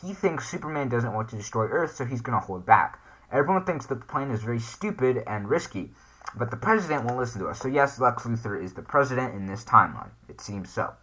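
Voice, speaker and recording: A man's voice: medium pitch, animated, brisk, fairly bright tone, husky, rough recording. A man hosting a casual reaction video, hesitantly thinking aloud as he comments and reads text off the screen. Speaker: YouTube reaction host.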